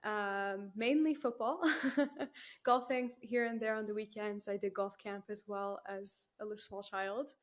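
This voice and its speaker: A woman's voice: mid-range voice, fairly even intonation, measured pace. A young woman, chatting as a guest in an interview, laughing easily and using lots of fillers. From Canada. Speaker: guest, new team member. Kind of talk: casual interview chat.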